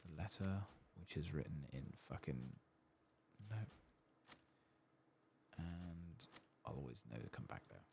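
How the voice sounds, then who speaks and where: A man with an extremely low, soft-spoken voice gives ASMR gameplay commentary. His murmured delivery rises and falls freely in pitch as he thinks aloud. He sits close to the mic, with faint noise behind him. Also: lively intonation, takes it slowly, gravelly, long pauses. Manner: muttered, absent-minded thinking aloud, casual swearing. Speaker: host of a gameplay channel.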